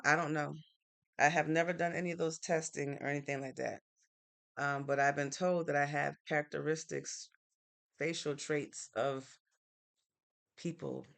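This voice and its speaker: A woman's voice: deep voice, steady tone, normal speed, gravelly, fairly bright tone. A woman from Connecticut in the United States hosting a live stream, chatting casually and answering viewer comments in an easygoing, thinking-aloud way. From Norwalk, Connecticut, United States.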